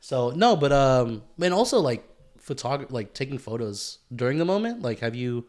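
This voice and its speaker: A man co-hosting a podcast, chatting casually in a slangy, profane, animated way. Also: mid-range voice, moderate pace. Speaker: podcast co-host. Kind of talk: casual chat.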